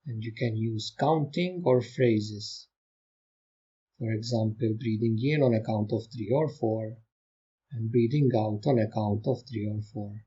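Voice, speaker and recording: A man guides a meditation in a dark, relaxed voice with a slightly breathy grain. He speaks very slowly and gently, leaving calm pauses between short instructions. The recording sounds a little dull. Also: lowish voice. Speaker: meditation teacher.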